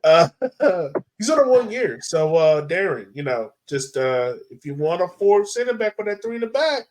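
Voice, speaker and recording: A man co-hosting a podcast, chatting casually about sport in slangy, animated talk. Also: high-pitched, moderate pace. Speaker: podcast co-host.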